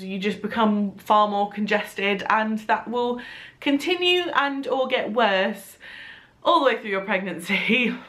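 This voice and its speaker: A woman's voice: fairly high pitch, a bit bright. A young woman with a blocked, nasal voice from congestion, chatting casually to her camera. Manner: chatty, rambling, laughs now and then. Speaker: vlogger. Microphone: compact camera.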